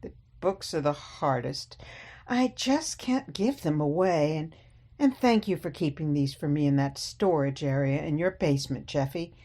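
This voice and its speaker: Woman playing genteel, slow-spoken elderly mother. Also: deep voice. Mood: sweet, wistful. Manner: gently chiding, coy. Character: genteel, evasive elderly mother, sweet but stubborn. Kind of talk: radio play acting.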